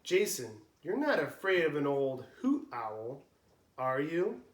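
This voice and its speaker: A man's voice: a little high, very animated, slow pace, roomy sound, distant mic. A man voicing a patient, tired father mouse in a storybook read-aloud. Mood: weary gentle.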